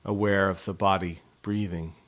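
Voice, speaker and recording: Low-pitched man guiding a slow meditation. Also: steady tone, fairly bright tone. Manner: measured, unhurried instruction in short phrases with long pauses. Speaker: meditation guide. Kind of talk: guided sleep meditation, slow body scan narration.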